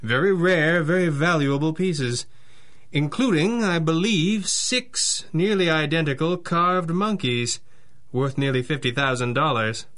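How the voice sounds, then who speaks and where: An actor playing a stern, confident masked pulp hero, with a formal, declamatory delivery in a 1930s-style radio drama. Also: male voice, fairly high pitch, measured pace, a bit bright. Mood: assured.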